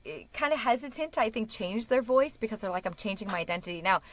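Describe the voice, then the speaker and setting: A woman's voice: on the high side, lively intonation, fast, no room sound. A woman speaking as a podcast guest and speech-language pathologist, chatting enthusiastically, laughing often and restarting her sentences. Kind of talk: casual interview chat.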